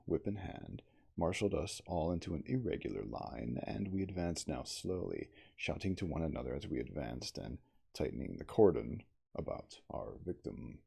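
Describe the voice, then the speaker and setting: A man reading an adventure story aloud in a deliberate, dramatic ASMR style. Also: extremely low pitch, gravelly, dark tone, slightly rough. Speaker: audiobook reader.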